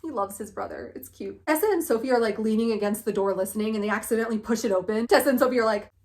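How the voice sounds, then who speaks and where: A woman's voice: a little high, racing, a little mellow. Chatty, sarcastic woman recapping a book. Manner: sarcastic, gushing, heavy use of "like". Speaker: book vlogger.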